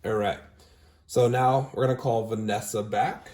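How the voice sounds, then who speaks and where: A man's voice: fairly low pitch, steady tone, moderate pace, a little mellow. Upbeat man in a roomy echo. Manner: upbeat, filler-heavy, says "all right?" often. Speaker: recruiting manager demonstrating callbacks. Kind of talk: casual screen-share walkthrough, then phone interview.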